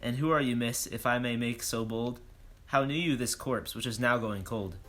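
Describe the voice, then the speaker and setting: An actor playing a bold, self-important police detective, declaiming in rhyme in a comic audio drama. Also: male voice, mid-range voice, normal speed, fairly bright tone, lightly acted. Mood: pompous, businesslike. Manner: declamatory, questioning, speaks in rhyme.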